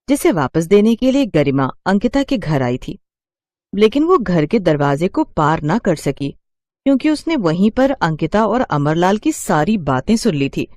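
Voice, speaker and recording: A woman's voice: fairly low pitch, darkish tone, dry recording. A woman narrating a cartoon story.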